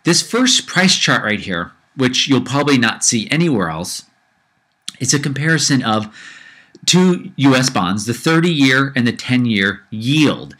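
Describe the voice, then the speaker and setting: A man's voice: medium pitch, very animated, a little slow, bright, forceful tone. A man hosting his own podcast, ranting with rising heat and a slight cold in his voice, often repeating himself. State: low-grade cold. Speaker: podcast host. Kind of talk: casual solo podcast rant.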